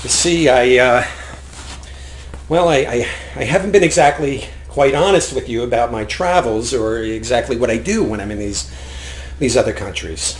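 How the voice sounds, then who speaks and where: A man's voice: medium pitch, moderate pace, close to an everyday voice. A man playing a nervous, evasive longtime husband in a stage comedy, hesitant and stammering. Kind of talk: stage acting in a one-act comedy play. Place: indoor theater stage, recorded live. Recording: roomy live theater recording, faint noise.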